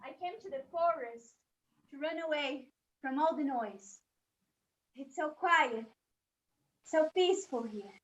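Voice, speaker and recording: A woman's voice: sits high, unhurried, pauses often, recorded from a distance, low-quality recording. A woman playing a frightened, frantic young boy in a dramatic, pleading stage monologue. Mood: hushed, wistful.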